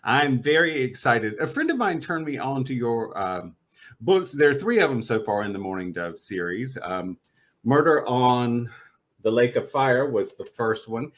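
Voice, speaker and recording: A man's voice: mid-range voice, big pitch swings, a little slow, a bit bright. A man hosting a podcast interview, chatty and enthusiastic, laughing often as he talks books with a guest. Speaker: podcast host. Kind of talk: casual interview chat.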